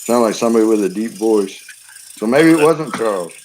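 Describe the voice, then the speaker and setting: A man's voice: on the low side, expressive, brisk, a little mellow, a little hiss or hum, poor audio. Man teasing friends on a stream panel. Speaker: panel guest. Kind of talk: casual group chat on a live stream.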